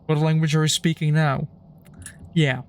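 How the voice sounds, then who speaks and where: Dry-witted young man, fairly high voice. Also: normal speed, a little hiss or hum. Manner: dry, explains technical points, deadpan puns. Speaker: streamer. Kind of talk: casual chat while gaming.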